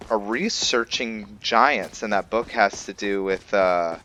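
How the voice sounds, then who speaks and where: A man with a fairly deep voice talks through his game at a very unhurried pace. He is laid-back and casual, musing aloud as a let's-play host does. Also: sharp tone, highs cut off. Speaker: host of a video game roleplay series.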